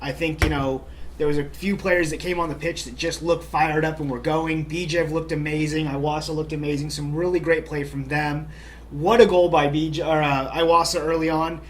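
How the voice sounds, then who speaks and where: A man's voice: on the high side, little pitch movement, normal speed, slight background noise. A man co-hosting a casual soccer talk show, upbeat and chatty with lots of fillers. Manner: upbeat, chatty, many "you know" fillers. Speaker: podcast co-host. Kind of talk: casual sports chat.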